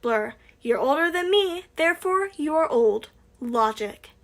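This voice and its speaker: Kobieta grająca bezczelnego młodego hakera. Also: female voice, sits high, lively intonation, measured pace. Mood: teasing. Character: cheeky young male hacker, playful and smug.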